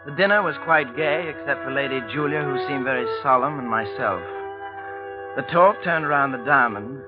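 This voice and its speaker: A man plays a troubled young gentleman telling his mystery in formal, sardonic phrases. His pitch is middling. It comes through as a dull vintage radio drama with music clearly behind. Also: normal speed, natural voice. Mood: grave narration. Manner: formal, literary, dryly sardonic. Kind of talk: old radio drama acting and first-person narration.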